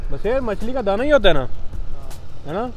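A man's voice: high-pitched, sing-song, moderate pace, a little hiss or hum. A young man in his twenties with a Pakistani Urdu accent, chatting casually to his phone camera outdoors on a farm, mixing English words and pious phrases into his talk. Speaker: vlogger. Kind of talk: casual outdoor travel vlog. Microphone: phone camera at arm's length.

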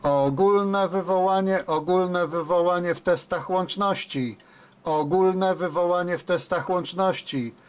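Higher-voiced man making radio calls. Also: a little slow, smooth. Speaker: amateur radio operator, host. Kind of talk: radio call-outs and casual commentary. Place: indoor room at a radio desk.

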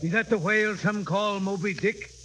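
An actor playing a curious, excited whaling harpooner with rough seafaring grammar in a radio drama. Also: male voice, sits high, moderate pace. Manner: non-standard seafaring speech, questioning. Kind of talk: mid-century radio drama acting. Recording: old drama recording with background noise.

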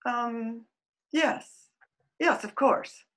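A woman acting in a radio drama as a shy, flustered Edwardian lady who talks in a halting, bashful way. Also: fairly high pitch. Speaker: radio play actor.

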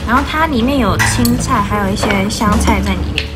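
A woman's voice: mid-range voice, brisk, loud music behind the voice. A woman in her thirties casually reviewing dishes in a small restaurant, filmed on a phone held close. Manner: chatty, describing dishes between bites. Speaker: food vlogger. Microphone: phone camera held close.

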